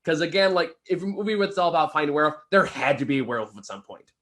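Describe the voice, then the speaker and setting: A man's voice: a little high, lively intonation, rapid-fire, a bit bright. A man co-hosting a casual film review, chuckling and swearing as he talks. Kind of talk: casual movie review chat.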